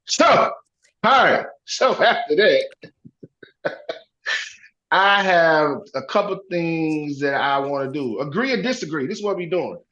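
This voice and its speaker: A hoarse man hosting reaction commentary, talking in an animated, preachy way. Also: on the high side, a little slow, long pauses, reverberant, lo-fi sound. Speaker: commentary host.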